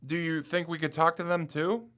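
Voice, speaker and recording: A man's voice: on the high side, fairly even intonation, normal speed, a bit bright, natural voice. A young man playing an eager, confident college student turned amateur detective in an audio drama. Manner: confident, insistent.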